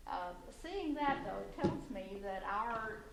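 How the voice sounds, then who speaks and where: A woman with a loud, gravelly voice speaks up at a council meeting, stopping and restarting her sentences often. She sounds set back from the mic in an echoey community room with faint noise underneath. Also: medium pitch, lively intonation, far from the mic. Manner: halting, many fillers and restarts, light laughs. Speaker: resident giving public comment. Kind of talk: public comment at a city council meeting.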